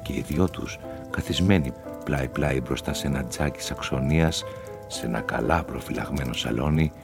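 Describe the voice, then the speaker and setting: A man narrating a literary radio play in a measured storytelling manner. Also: a bass voice, gravelly, music in the background. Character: male storyteller of a gothic theatre tale.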